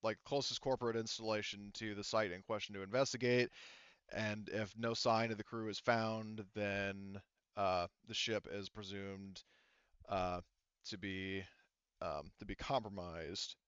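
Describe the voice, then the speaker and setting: Low-voiced man running a tabletop game, dull audio. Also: fairly even intonation, fairly bright tone, smooth, dry recording. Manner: halting, rambling explanations, casual swearing. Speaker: game master. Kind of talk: casual tabletop game narration.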